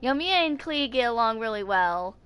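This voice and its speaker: Casting: female video creator, twenties, bright forceful voice on the high side, informal rambling chat to viewers. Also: lively intonation, normal speed, smooth. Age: 20s. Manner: rambling, loosely worded.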